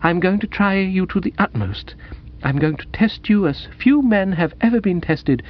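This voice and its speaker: A man voicing a dreamy, sentimental young woman with a gushing, halting, earnest delivery in a dramatised audiobook. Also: sits high, expressive, lo-fi sound, voice pushed up. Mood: soulful, gushing.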